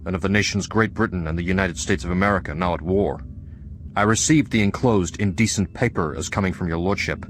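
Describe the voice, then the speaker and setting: A man's voice: sits low, close to an everyday voice. An actor playing a dignified, indignant elder American statesman reading letters formally in a radio drama. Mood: cool indignation. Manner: formal, measured.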